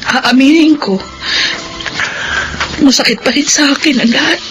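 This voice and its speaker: A high-pitched, emotional Filipino woman's voice plays a burdened eldest sister in a radio drama. She pleads with feeling and a sense of grief, over clearly audible background music. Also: low-quality recording. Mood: anguished, weary. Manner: slow, pleading, emotional delivery. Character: grieving, burdened but forgiving eldest sister.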